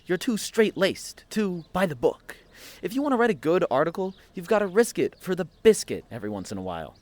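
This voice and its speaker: A man's voice: high-pitched, very animated, fairly quick. A man playing a cheeky, reckless friend in an audio drama, teasing and theatrical in manner. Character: cheeky, reckless, mischievous male friend of a reporter. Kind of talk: scripted audio fiction acting.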